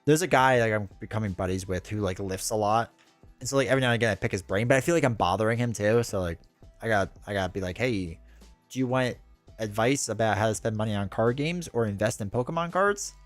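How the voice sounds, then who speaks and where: A man's voice: fairly low pitch, quick pace, no room sound, faint music behind. A man in his thirties hosting a casual livestream, rambling and joking at his own expense with lots of filler words. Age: 30s. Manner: rambling, self-deprecating, with frequent "like" and restarts. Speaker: livestream host.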